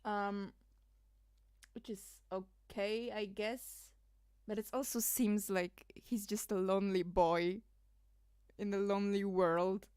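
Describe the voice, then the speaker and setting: A woman rambles through casual livestream commentary, laughing and leaning on fillers. Her voice sits at a middle pitch. The sound is dry and close. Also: level tone, takes it slowly, darkish tone, smooth, dry recording. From Poland. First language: Polish. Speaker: streamer.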